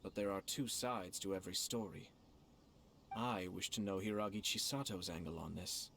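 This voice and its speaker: An actor playing a shrewd, composed young nobleman in an anime video game, speaking in a measured, formal, diplomatic way. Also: low-pitched, fairly even intonation, gravelly, a bit bright, slight background noise. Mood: thoughtful.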